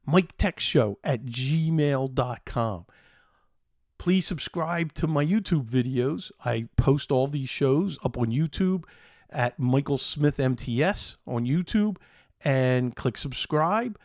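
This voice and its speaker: Slow-paced middle-aged man chatting casually. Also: mid-range voice, expressive, darkish tone, no echo, studio quality. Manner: rambling, enthusiastic, halting delivery. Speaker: host of a tech show. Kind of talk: casual solo chat to viewers.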